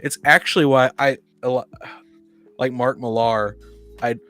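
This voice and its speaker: Male podcast co-host, mid-pitched, halting and rephrasing as he goes, casual hobby chat over soft music. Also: steady tone. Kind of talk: casual discussion of tabletop roleplaying.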